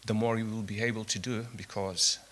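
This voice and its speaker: A man whose first language is Portuguese, giving an earnest, slightly nervous conference presentation with many halting fillers. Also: fairly low pitch, flat delivery, fairly bright tone. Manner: self-deprecating, halting with fillers. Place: conference hall.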